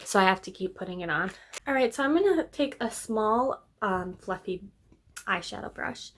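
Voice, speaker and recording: A young woman talks through a makeup tutorial in a casual, upbeat way, often trailing off into fillers. Her voice sounds a little hoarse and congested, as if she's coming down with a cold. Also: mid-range voice, expressive, moderate pace, fairly bright tone. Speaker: beauty vlogger.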